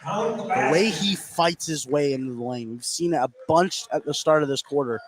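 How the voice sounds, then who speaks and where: Higher-pitched man giving basketball color commentary. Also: expressive, normal speed, background noise. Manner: conversational, opinionated. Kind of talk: live sports analysis.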